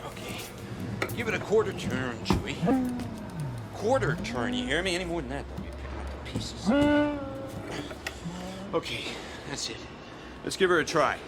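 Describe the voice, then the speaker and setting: A brash American space smuggler, grumbling and sarcastic, his fairly high voice swinging widely. Loud music runs beneath this radio drama performance. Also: sing-song, moderate pace, long pauses. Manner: gruffly sarcastic, informal. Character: brash, wisecracking, grumbling smuggler pilot and mechanic.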